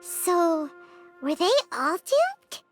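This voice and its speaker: A woman voicing a tiny, excitable, childlike fairy girl companion in a video game, speaking emphatically. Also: very high voice, very slow, music in the background, heavily acted. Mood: earnest. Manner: speaks of herself in third person, emphatic. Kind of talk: video game character acting.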